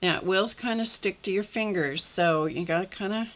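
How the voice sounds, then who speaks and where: A middle-aged woman with a slightly croaky voice, hosting a live art journaling session and chatting casually while she works with her hands. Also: medium pitch, moderate pace, fairly bright tone. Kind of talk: live craft demonstration.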